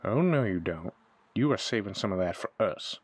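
A man's voice: on the low side, expressive, moderate pace, breathy edge, no room sound. A man playing an irreverent, wisecracking young shifter sidekick in an audio roleplay drama, flippant and joking.

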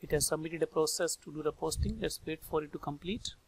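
Indian accent guy doing a screen tutorial, bit higher pitched, talks in short bits, some noise and clicks behind. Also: fast talker. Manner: informal, thinking aloud in short phrases. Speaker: software trainer. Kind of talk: step-by-step screen tutorial narration. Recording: background noise with mouse clicks.

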